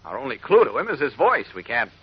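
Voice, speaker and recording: An actor playing an earnest, level-headed newspaper reporter with a husky voice in a 1940s radio drama. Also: male voice, medium pitch, huge pitch swings, fast, heavily acted. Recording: muffled vintage radio recording.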